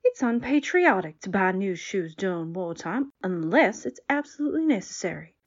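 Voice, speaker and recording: A woman's voice: medium pitch, very animated, moderate pace, dry recording, lo-fi sound. A woman playing an opinionated, matter-of-fact character in a 1940s-style radio drama.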